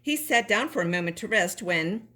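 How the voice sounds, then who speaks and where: A woman reading a children's story aloud in an expressive, animated way, as a storybook reader. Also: mid-range voice, moderate pace, loud music behind the voice.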